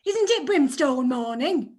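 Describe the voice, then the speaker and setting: An actress playing a bossy, sharp-tongued schoolmaster's wife who scolds constantly. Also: female voice, sits high, expressive, a little slow. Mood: scolding.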